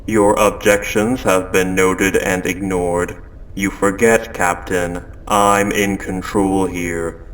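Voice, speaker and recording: A man voicing a calm, controlling spaceship AI gone quietly insane, speaking with measured, polite, coldly insistent phrasing in a scripted audio drama. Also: low-pitched, poor audio. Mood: calm, menacing. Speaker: audio roleplay performer. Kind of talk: scripted second-person audio drama monologue.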